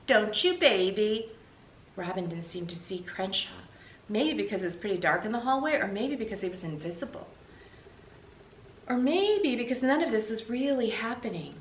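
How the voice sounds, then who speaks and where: An American woman narrates a children's book like a classroom teacher. The pitch is middling. The recording is echoey, with faint noise behind it. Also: sing-song, moderate pace, far from the mic. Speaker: teacher reading aloud, channel host. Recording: roomy, noticeable background hiss.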